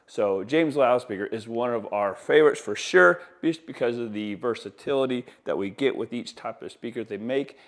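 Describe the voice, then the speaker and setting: A man's voice: medium pitch, normal speed, few pauses. A man giving a casual, enthusiastic product demonstration as an installer, with folksy, colloquial sales patter. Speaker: audio installer presenting products.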